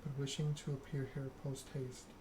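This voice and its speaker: A man reads a narrative game aloud as ASMR, hushed and measured. His pitch sits in the middle and moves very little. There is clear noise behind him. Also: flat delivery. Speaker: video game player reading the story aloud. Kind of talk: ASMR game read-along, narration with dialogue.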